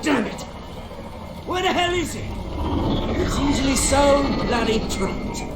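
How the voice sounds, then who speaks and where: British game villain, sneering and taunting, vengeful crime lord, highly animated pitch, echoey, loud music underneath. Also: male voice, measured pace, heavily acted. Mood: suspicious, needling. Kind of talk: dramatic video game voice acting.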